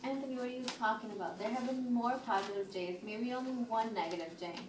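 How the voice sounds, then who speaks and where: Woman acting as strict worried mom in amateur therapy skit, talks fast, kinda high voice, echoey. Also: close to an everyday voice. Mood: defensive, insistent. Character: worried, strict single mother of a teenage son. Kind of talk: amateur role-play dramatization. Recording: echoey room, distant mic, faint noise.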